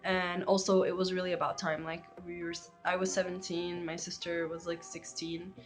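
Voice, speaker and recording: A woman's voice: on the low side, little pitch movement, normal speed, faint music behind. An Egyptian woman in her twenties, talking casually to camera about her life as a channel host, with many likes and ums. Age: 20s.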